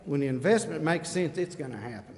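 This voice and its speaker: A man with a fairly high voice speaks as a citizen at a public meeting. He is plain-spoken and emphatic, and his words ring in a reverberant hall. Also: animated, a little fast, gravelly, darkish tone. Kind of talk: public comment speech. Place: indoor council chamber.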